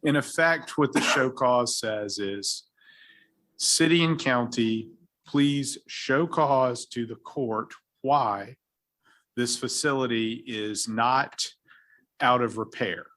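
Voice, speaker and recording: Man, Virginian accent, city attorney, formal council presentation, measured legal phrasing, mid pitch. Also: fairly bright tone. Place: council chamber.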